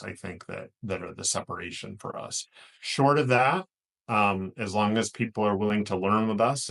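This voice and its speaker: A man with a deep voice and an American accent speaks as an interview guest. He sounds like an executive explaining his work, earnest and measured. He often repeats and rephrases as he builds a point. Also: vocal fry, a bit bright. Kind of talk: thoughtful interview answer.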